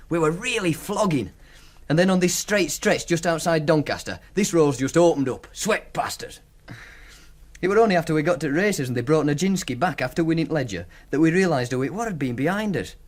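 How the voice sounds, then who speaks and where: A man acting in a radio drama as a cocky, teasing racing punter with a Yorkshire accent, speaking colloquially like a storyteller. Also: on the high side. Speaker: actor.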